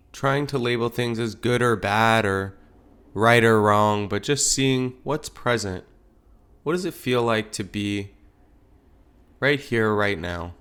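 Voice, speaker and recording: A man leading a guided meditation as a teacher, speaking in gentle, unhurried phrases with pauses. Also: lowish voice, clear, resonant tone.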